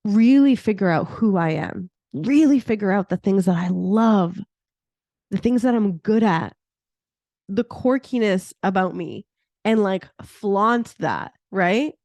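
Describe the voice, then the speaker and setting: A woman in her thirties talks straight into a close mic in a dry, deadened room, at a middle pitch. She chats casually as a podcast host, sprinkling in "like" and breaking into laughter. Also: expressive, slow pace, darkish tone, no echo. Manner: chatty, laughs often, fillers like "like". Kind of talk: casual solo podcast talk.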